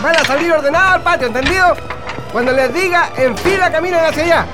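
A man playing a bossy prison guard commander in a radio drama, shouting clipped orders at inmates. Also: extremely high pitch, fast talker, over loud music. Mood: barking orders.

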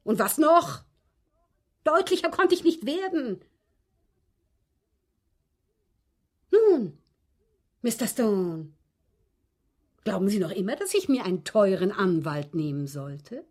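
Haughty old lady with a husky, swooping voice. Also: mid-range voice, sing-song, measured pace, long pauses. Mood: emphatic, scornful. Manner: precise, formal, haughty, dryly scornful. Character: proud, articulate old English widow, coolly confessing to police. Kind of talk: radio drama acting.

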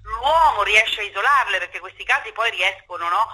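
A woman's voice: medium pitch, expressive, brisk, pushed and bright, low-quality recording. A woman speaking emphatically and wordily in a spontaneous interview over the phone, often checking for agreement. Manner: emphatic, impassioned, wordy, often seeks agreement. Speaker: theatre director being interviewed.

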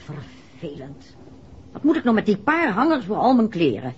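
An actress playing a fussy, complaining wife in a 1960s radio drama, petulant and pointed, with a Netherlands Dutch accent. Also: female voice, medium pitch, very animated, moderate pace, natural voice. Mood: irritated. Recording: muffled, noisy 1960s radio recording.

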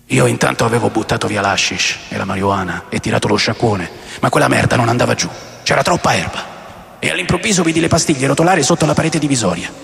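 A man playing a rock musician recalling wild tours in a radio drama monologue, conversational and coarse, with dramatic pauses. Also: mid-range voice, creaky, fairly bright tone. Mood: tense, rueful. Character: adult male rock musician recalling wild touring days. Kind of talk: radio drama monologue, first-person storytelling. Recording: long reverb, music under voice.

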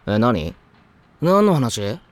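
A man's voice: mid-range voice, big pitch swings, drawn-out words. An actor playing a laid-back, casual teenage boy teammate in an anime drama CD. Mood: breezy. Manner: casual, breezy.

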